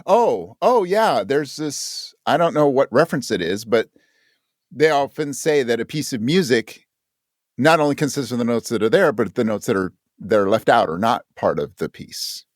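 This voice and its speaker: A man's voice: mid-range voice, lively intonation, moderate pace, dry recording, clean studio sound. A man talking as an interview guest in a casual, reflective way, thoughtfully rephrasing as he goes. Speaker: interview guest, audio drama creator.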